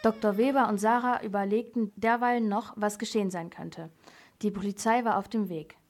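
A woman's voice: medium pitch, fairly even intonation, normal speed, darkish tone. A young girl reading scripted narration for an amateur radio crime play. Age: child or teenager.

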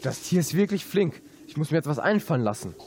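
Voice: mid-range voice, big pitch swings, fast, darkish tone, slight background noise. A young performer playing a plucky, clever little tailor in an amateur fairy-tale radio play. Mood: alert, thinking.

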